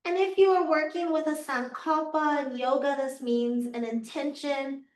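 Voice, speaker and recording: A woman yoga teacher guiding a class through slow, soothing cues, her voice a little raspy from losing it. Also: sits high, steady tone, a little mellow. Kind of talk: guided yoga class instruction. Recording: echoey room, voice from far off.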